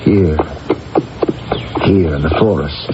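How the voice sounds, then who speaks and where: An actor playing a shrewd, solemn ancient British warrior in a radio drama, measured and emphatic in delivery. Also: male voice, extremely low pitch, heavy background noise. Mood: urgent, persuasive. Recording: muffled vintage radio recording, music behind.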